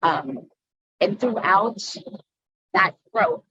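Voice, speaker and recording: A woman from the Philippines, a yoga instructor, telling her personal story in a halting way with frequent pauses. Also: mid-range voice, wildly swooping pitch, a bit bright, breathy edge, dry recording, highs cut off. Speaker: guest speaker, yoga instructor. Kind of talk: personal talk.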